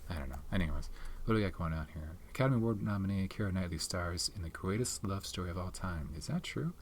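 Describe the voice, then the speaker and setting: A man speaking softly in an ASMR video, chatting casually and rambling with many fillers and restarts. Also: sits low, quick pace, a little hiss or hum. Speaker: ASMR channel host reviewing his film collection. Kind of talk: soft-spoken ASMR chat.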